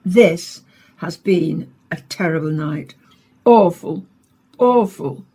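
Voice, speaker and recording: An actress playing a dramatic, urgent female news reporter with a husky voice in a radio play. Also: on the low side, slow, warm tone. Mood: shaken, grave. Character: female field radio reporter, distressed witness of alien invasion.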